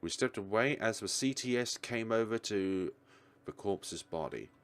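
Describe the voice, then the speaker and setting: A man's voice: fairly low pitch, expressive, unhurried. A man reading a visual novel aloud as a let's player, now and then stumbling over words and correcting himself.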